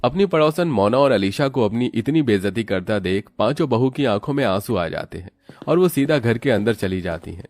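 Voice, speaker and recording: A man's voice: medium pitch, expressive, fairly quick, darkish tone, no echo. A man narrating a scripted cartoon moral tale as its storyteller.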